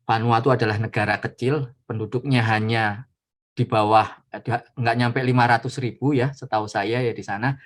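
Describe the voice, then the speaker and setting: A man, a guest lecturer answering questions informally in a hesitant, explanatory way with softening tags. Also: medium pitch, fairly even intonation, moderate pace. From Indonesia. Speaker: trade attaché, guest lecturer.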